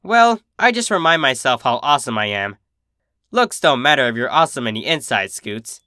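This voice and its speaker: A man's voice: medium pitch, very animated, moderate pace, pushed and bright, no room sound, studio quality. A man voicing a cocky, protective female pegasus, a big sister with a brash, casual, reassuring manner. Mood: proud, warm.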